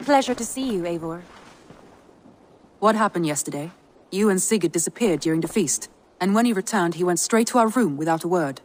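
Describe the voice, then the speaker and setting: An actress plays a shrewd Norse noblewoman advising in a game cutscene. Her voice sits a little low for a woman. She speaks with composure, in measured and formal phrases. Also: lively intonation, lightly acted. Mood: concerned, intimate. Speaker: video game character. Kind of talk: dramatic game cutscene acting.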